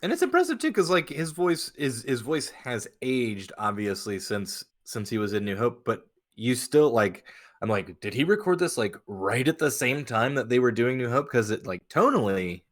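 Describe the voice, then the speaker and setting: A man's voice: medium pitch, sing-song, normal speed. A man in casual comic banter, playful and given to exaggerated mock quotes while chatting. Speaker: podcast co-host.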